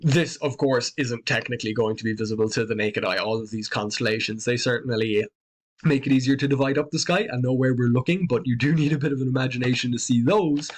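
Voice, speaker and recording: An Irish man narrating an educational piece about the night sky, his voice sitting at an ordinary middle pitch. He talks in a calm, explanatory, conversational way. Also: fast. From Ireland. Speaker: astronomy presenter.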